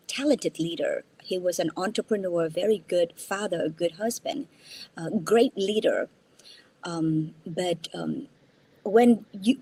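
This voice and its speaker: A woman's voice: fairly low pitch, a little mellow, slight background noise, low-quality recording. A jet-lagged woman in her fifties from Vietnam, a native Vietnamese speaker, telling her story earnestly as an interview guest on a video call from her mother's house. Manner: earnest, restarts words, tags sentences with "right?". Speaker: guest entrepreneur. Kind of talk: casual interview.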